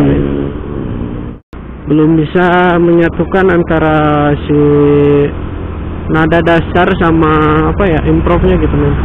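A man with a Sundanese-flavoured Indonesian accent giving slangy, casual running commentary while riding a motorcycle, recorded on a helmet action camera. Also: sits high. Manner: chatty, slangy, quick exclamations. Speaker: motovlogger. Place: outdoors on a moving motorcycle in traffic. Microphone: helmet-mounted action camera. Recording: tinny lo-fi with loud road and wind noise. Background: traffic and engine noise.

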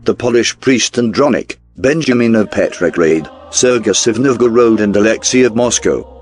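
A man reading scripted documentary narration in a formal, measured newsreel style. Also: lowish voice. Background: background music.

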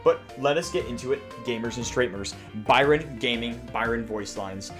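A man's voice: mid-range voice, normal speed, over music. A young man hosting a gaming channel with casual, jokey, exaggerated reaction commentary full of crude slang. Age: young adult. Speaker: YouTube gaming host. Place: small home room.

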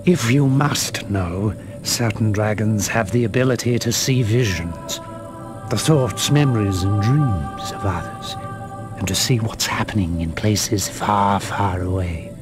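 A man playing a wise, solemn old dragon mentor in a video game, gravelly, with a slow, measured and grave delivery. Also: fairly low pitch, lightly acted. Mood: solemn, patient. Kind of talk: dramatic video game cutscene acting. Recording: music behind the voice.

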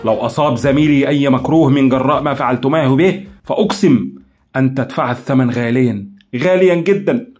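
A man's voice: mid-range voice, quick pace, soft background music, natural voice. A man voicing a stern, driven intelligence officer who barks urgent orders. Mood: stern, angry. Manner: urgent, commanding, shouting orders. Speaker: lead character in an audiobook.

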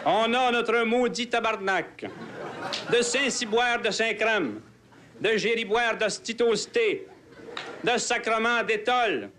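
High, slow Québécois man reciting poetry. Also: bright, forceful tone, a little hiss or hum.

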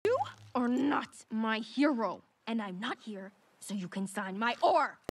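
A headstrong young island girl, talking defiantly in a slightly high voice. The clip carries clear background noise. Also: animated, a little slow.

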